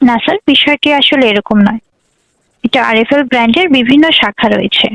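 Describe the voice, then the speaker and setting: Young bangladeshi lady doing call center talk, high voice, quick, polite n scripted. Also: a bit bright, dry recording, lo-fi sound. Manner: polite, formulaic. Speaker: customer service call agent. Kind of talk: scripted, formal phone customer service.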